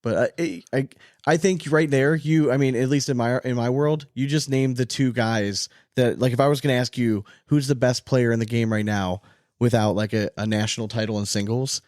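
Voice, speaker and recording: A man's voice: medium pitch, fairly even intonation, normal speed, a little mellow, dry recording, studio quality. A man hosting a sports podcast in casual, conversational talk with his co-host and guest.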